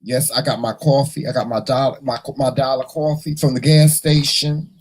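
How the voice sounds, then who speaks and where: Man, hoarse and husky voice, morning show host, folksy and teasing, lots of restarts. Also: medium pitch, little pitch movement, normal speed. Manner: folksy, teasing, talks to the chat; repeats words and restarts often. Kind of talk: casual livestream chat with viewers.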